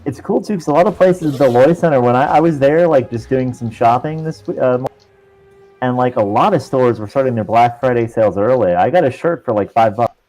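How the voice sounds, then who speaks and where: A man's voice: mid-range voice, fairly quick, darkish tone, faint music behind, rough recording. A man chatting casually on a stream in an easygoing, rambling way. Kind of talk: casual chat.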